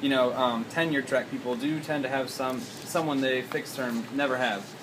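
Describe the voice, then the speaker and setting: A man's voice: medium pitch, normal speed. A man working as a college instructor, speaking in a group discussion read aloud. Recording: noisy room with steady hum.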